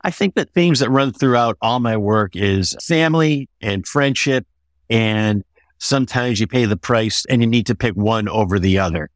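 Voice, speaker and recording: A middle-aged or older American man answering interview questions as an author, in a measured, explanatory way. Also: fairly low pitch, no room sound, clean studio sound.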